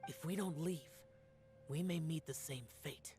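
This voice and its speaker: An actor playing a stoic, ancient demon warrior guardian who speaks in a solemn, measured way. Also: male voice, on the high side, close to an everyday voice. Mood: grim. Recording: game cutscene with soft music.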